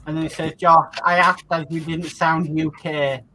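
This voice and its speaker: Bright high-voiced man hosting. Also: level tone, normal speed, rough recording. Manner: reads viewer comments aloud, runs through the schedule. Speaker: host. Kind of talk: casual chat on a live stream.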